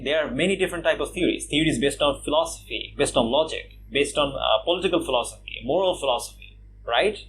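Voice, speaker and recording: A man from Bangladesh giving casual response commentary on a video, mocking his opponent with scoffing laughs and lots of "uh" and "you know" pauses. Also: fairly high pitch, fairly bright tone, slight background noise, poor audio. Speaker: YouTube commentator.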